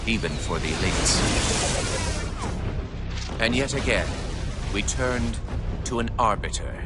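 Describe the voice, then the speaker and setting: An actor playing a solemn, proud alien warrior chronicler, narrating a game story in a grave, formal style. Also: male voice, on the low side, lightly acted. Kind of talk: scripted dramatic narration for a game.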